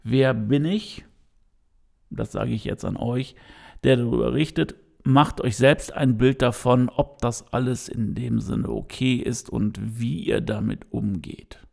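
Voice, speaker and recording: A man with a gravelly, creaky voice at an ordinary pitch talks through his opinion to his listeners. He keeps pausing, restarting and filling gaps with small sounds. His tone stays earnest and direct throughout. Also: fairly even intonation, a little mellow. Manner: hesitant, many fillers and restarts. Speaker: podcast host. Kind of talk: unscripted opinion monologue.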